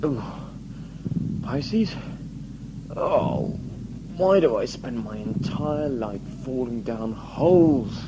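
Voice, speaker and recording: A man's voice: medium pitch, lively intonation, very slow, pauses often, noisy background. An actor playing an exasperated, sarcastic, hapless man on an adventure in an audio drama. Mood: grumbling. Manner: sarcastic, complaining.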